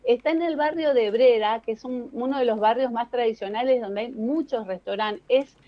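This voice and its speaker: High-voiced Argentine woman columnist, dull sound. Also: normal speed. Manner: explanatory, addresses listeners directly. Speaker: radio or TV news columnist. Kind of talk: conversational news commentary.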